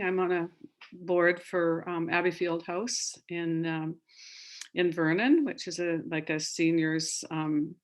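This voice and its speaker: An older woman from Canada introduces herself on a video call, pausing often with ums and restarting her sentences. Her voice sits somewhat low for a woman. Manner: hesitant, with many filler ums and restarts. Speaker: circle participant and volunteer board member. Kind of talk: personal introduction in an online circle.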